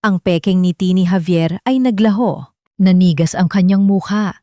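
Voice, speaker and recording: A woman's voice: on the low side, normal speed, mellow, dry recording, studio quality. A woman with a Filipino accent reading scripted dramatic narration for an audio love story.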